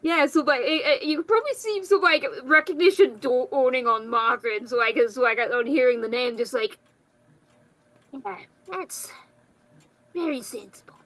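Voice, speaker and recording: A woman chatting casually as a player in a tabletop game, halting and stammering as she goes. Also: high-pitched, fairly bright tone.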